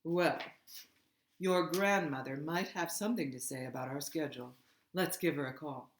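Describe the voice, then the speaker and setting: Reader doing calm composed woman, even measured talk, storybook, echoey room. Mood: calm, diplomatic. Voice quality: deep, even. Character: calm, composed woman looking after children.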